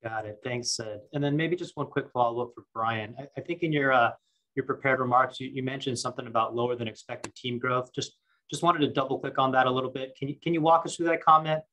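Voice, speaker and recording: A man asking informal questions as an analyst on an earnings call, in a halting way that repeats words. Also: mid-range voice, steady tone, pushed and bright, rough recording.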